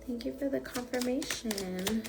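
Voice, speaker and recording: A woman's voice: a little high, a little slow, gravelly, heavy background noise. A woman giving a casual, rambling tarot reading with lots of fillers, her voice stuffy from a cold. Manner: chatty, rambling, frequent fillers. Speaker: tarot reader.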